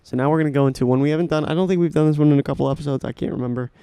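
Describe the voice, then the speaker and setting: A young man in his late teens rambles happily through his favorite records, tripping over his own sentences as he goes. His voice has a gravelly, mellow low end, recorded close and dry with no room sound. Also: racing, dry recording. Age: young adult. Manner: rambling, enthusiastic, restarts often. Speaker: podcast host. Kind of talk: casual solo talk about music.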